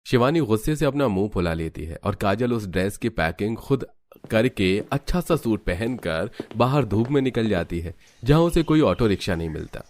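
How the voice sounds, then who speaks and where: Man, deep voice, scripted storytelling, cartoon narrator, slight background noise. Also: expressive, normal speed, darkish tone.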